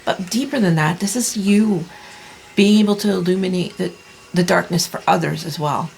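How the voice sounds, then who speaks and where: A woman in her thirties giving a tarot reading in a soft, reassuring way, clearing her throat as she loses her voice. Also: lowish voice, slight background noise, poor audio. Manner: soft, slow, reassuring delivery with many restarts. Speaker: tarot reader. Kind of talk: pick-a-card tarot reading.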